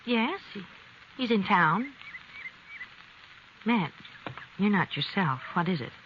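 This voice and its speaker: A woman's voice: mid-range voice, big pitch swings, slow. An actress playing a hospitable, homey farm wife and mother in a 1950s radio western. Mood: worried. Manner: homey, folksy, welcoming. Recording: noisy, muffled 1950s radio recording.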